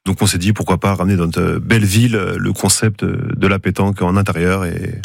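Relaxed low-voiced young man, dry studio sound. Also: fairly quick, gravelly, no room sound. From Paris. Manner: relaxed, many fillers, laughs easily. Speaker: bar owner, guest. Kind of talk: casual radio interview.

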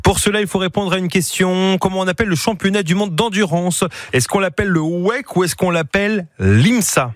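A man's voice: sits high, fairly quick, few pauses, no echo, clean studio sound. A man hosting local radio, chatting with a caller in a joking, teasing way with frequent hesitations. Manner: jokey and teasing, with many hesitations. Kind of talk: live radio chat with a caller.

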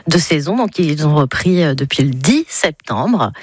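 A woman's voice: low-pitched, lively intonation, measured pace, slightly rough, no room sound. Laughing woman guest, dry close voice. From Nice, France. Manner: warm, laughing, nostalgic. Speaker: singer and actress, guest. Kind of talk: radio interview.

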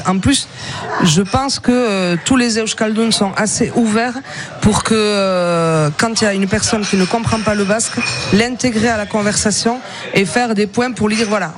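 A man taking part as a guest in an informal radio discussion, speaking earnestly and haltingly. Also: high voice, noisy background. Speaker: radio talk show guest.

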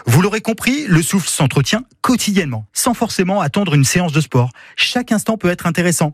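A man's voice: high voice, sing-song, a little fast, no room sound, clean studio sound. A man, a sports coach on the radio, guiding listeners through breathing exercises in an upbeat, encouraging way.

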